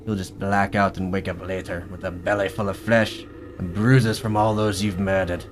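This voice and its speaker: A man's voice: low voice, little pitch movement, moderate pace, a little hiss or hum, natural voice. A man playing a menacing mad scientist villain in an audio drama, gloating and theatrical with mock politeness.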